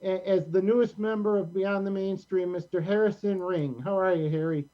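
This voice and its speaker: A middle-aged American man hosting a casual show in a rambling, halting way. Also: high voice, steady tone, dull audio. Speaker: host of a community show.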